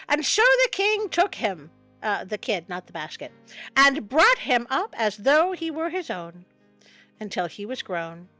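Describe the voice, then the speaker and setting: A woman with a fairly high voice reads a storybook tale as the host of a show. She is playful and breaks away from the text for wry commentary. Soft music runs behind her. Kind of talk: storybook reading with joking asides. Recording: music bed under the voice.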